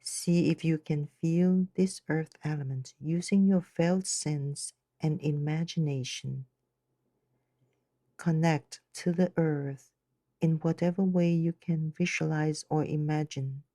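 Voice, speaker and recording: Slow, low-voiced woman guiding meditation. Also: fairly even intonation, no echo. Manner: gentle, unhurried, measured. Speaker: meditation guide. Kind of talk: guided meditation narration.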